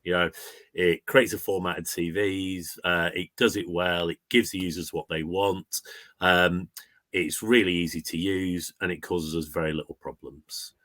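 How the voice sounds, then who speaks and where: A man's voice: low voice, steady tone, a little slow, fairly bright tone, dry recording. A man speaking conversationally as a business guest, giving an informal testimonial. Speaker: recruitment agency client guest.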